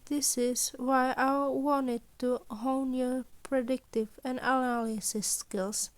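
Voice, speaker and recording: A woman's voice: sits high, little pitch movement, takes it slowly. A woman doing a confident teenage boy principal. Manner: confident, lecturing.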